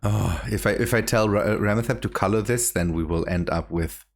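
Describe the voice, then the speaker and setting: A man's voice: on the low side, fairly quick. A man hosting a casual game stream, laughing often and restarting his sentences. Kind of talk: casual gaming chat.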